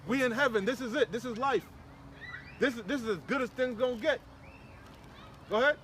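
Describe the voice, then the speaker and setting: A man's voice: very high-pitched, normal speed, crowd noise. A man preaching informally and fierily on scripture, emphatic and repetitive, with plenty of slang. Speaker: street preacher leading a scripture discussion. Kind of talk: fiery informal preaching, commenting on scripture read aloud. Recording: outdoor recording with clear traffic noise.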